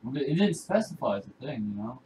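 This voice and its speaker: A man chats through a video game, jokey and easily rattled, his pitch jumping around a lot. His voice sounds roomy and distant, as if it's coming over voice chat from across a room. A faint noise runs under it. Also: very animated, fast talker, mellow. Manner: jokey, panicky, blurts out item names. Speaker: co-player, brother-in-law. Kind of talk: casual gameplay chat.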